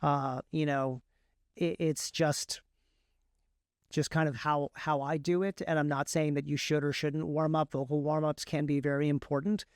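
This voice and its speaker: Higher-pitched man, clear and dry. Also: little pitch movement, moderate pace, no echo. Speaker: guest, indie author and audiobook narrator. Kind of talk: casual interview talk, explaining a process.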